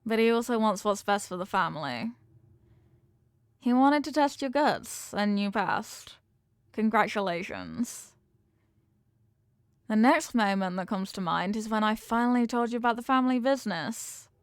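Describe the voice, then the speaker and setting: A woman's voice: mid-range voice, expressive, moderate pace, clear, resonant tone, dry recording, clean studio sound, natural voice. A woman playing a confident mafia boss girlfriend in an intimate romantic audio roleplay, talking tough but tenderly with affectionate slang. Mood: fond, reflective. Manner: affectionate tough talk with slangy pet names.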